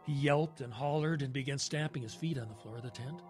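Music plays behind a haunted male survivor of the wilderness , played by an actor. He recounts his terror in a mid-pitched voice, halting and then swelling dramatically, in the manner of an audio horror drama. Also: lightly acted. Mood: fearful, agitated.

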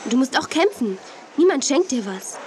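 Sensible, high-voiced young presenter. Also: female voice, very animated, normal speed, darkish tone, slight background noise. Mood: encouraging. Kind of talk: 1980s children's radio play acting.

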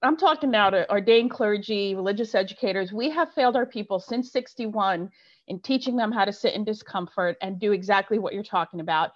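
A woman's voice: medium pitch, a little fast, highs cut off. A woman clergy member speaking passionately and emphatically in a panel discussion.

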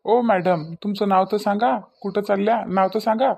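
Guy doing a cocky young cartoon loafer, pretty high voice, varhadi accent, pushy and boastful. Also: fairly even intonation, moderate pace, vocal fry, a little mellow. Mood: wheedling, pestering. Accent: Varhadi Marathi from Vidarbha. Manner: boastful, wheedling, insistent. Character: cocky, pestering young male village loafer on a motorbike. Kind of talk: cartoon comedy dialogue.

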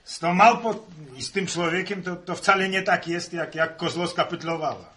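A man's voice: high-pitched, normal speed, sharp tone. A young man playing an earnest, curious farmer son in a 1960s Polish radio serial, hesitating and repeating words as he explains. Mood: defensive.

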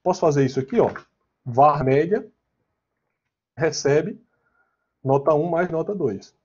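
Husky Brazilian man teaching, dull audio. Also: fairly high pitch, unhurried, a little mellow. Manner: conversational, often checking with question tags. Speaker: programming teacher. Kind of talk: informal online lecture with slides and live coding. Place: online class, screen share. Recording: dull, lo-fi online class audio.

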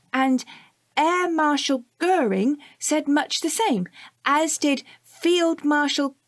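A bright, bookish child, played by a woman in a radio play, with a high voice and a close, dry sound. The delivery is eager and earnest, carefully setting out facts. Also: slow pace, dry recording. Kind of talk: audio drama dialogue.

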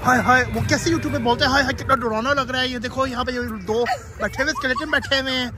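A young man vlogging while walking outdoors around a farm, chatting excitedly and hurrying his companions along, recorded on a handheld phone. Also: very high voice, fast talker, music in the background. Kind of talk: casual walking vlog. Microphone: handheld phone camera.

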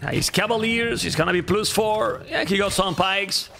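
A man in his thirties with a Spanish accent, casting a strategy game live and chatting to viewers, repeating phrases and going a bit hoarse. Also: high voice, normal speed. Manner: animated, repeats phrases, talks to chat. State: losing his voice. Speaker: game caster. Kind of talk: live game commentary to chat. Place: home streaming room. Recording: faint game music behind.

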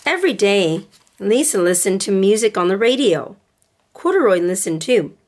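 A woman reading a picture book aloud to children in a deliberate, expressive way. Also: mid-range voice. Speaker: storybook reader for children.

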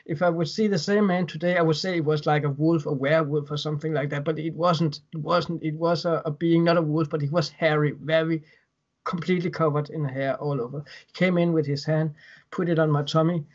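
Danish-accented man, slightly high, hesitant. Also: steady tone, fairly quick. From Denmark. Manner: hesitant, repeats words while recounting. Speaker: guest. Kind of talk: casual interview over video call. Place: home room indoors. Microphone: webcam on video call. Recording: dull lo-fi video call audio.

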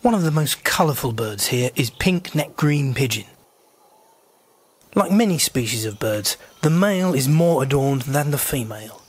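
A man narrating a scripted voice-over in a calm, informative manner. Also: mid-range voice, moderate pace, slightly rough. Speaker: birding vlogger.

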